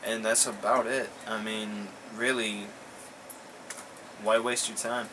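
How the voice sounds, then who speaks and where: A young man in a lowish voice rants bluntly, with a sarcastic edge. He talks loosely, as in a chat with a friend. Noise hangs under the recording. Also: level tone, takes it slowly. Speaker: co-host. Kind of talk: casual chat, ranting.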